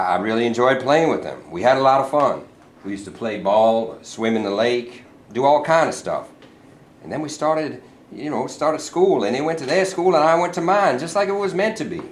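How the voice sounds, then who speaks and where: A man's voice: medium pitch, lightly acted. Man acting proud governor in echoing room. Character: proud, prickly segregationist governor, a commanding man. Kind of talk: staged play reading. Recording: roomy echo, faint noise.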